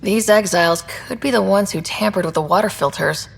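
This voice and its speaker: A woman's voice: medium pitch, a little fast, vocal fry, lightly acted. A woman playing a decisive human space explorer and leader in a video game. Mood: commanding.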